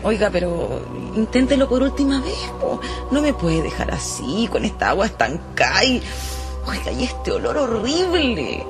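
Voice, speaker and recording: A woman's voice: a little high, sing-song, moderate pace. A Chilean woman playing a fretful, pleading young newlywed in a radio drama, speaking expressively. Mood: indignant. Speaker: actor. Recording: loud music behind the voice.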